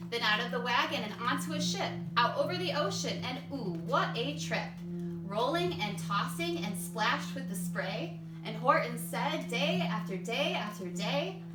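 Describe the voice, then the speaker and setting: A woman reading a children's story aloud in an expressive style. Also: on the high side, unhurried, loud music behind the voice. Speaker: storybook reader. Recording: echoey room, distant mic, background noise.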